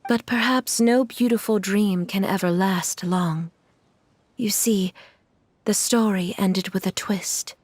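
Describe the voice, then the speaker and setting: A woman playing a gentle, sorrowful mother in a video game, speaking in an earnest, measured way. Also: mid-range voice, no echo. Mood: wistful. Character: sorrowful, gentle mother devoted to her ailing son.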